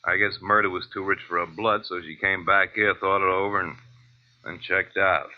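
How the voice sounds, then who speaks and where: An actor playing a weary, matter-of-fact police lieutenant in a 1940s radio drama. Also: male voice, low-pitched, fairly even intonation, moderate pace, lightly acted. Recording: muffled old-time radio recording.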